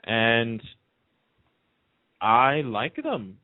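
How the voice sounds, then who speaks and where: A man's voice: mid-range voice, drawn-out words, no echo. A man chatting casually on a radio panel, opinionated and emphatic, repeating himself to make a point. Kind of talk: casual chat about TV soaps. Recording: muffled lo-fi phone-line radio sound.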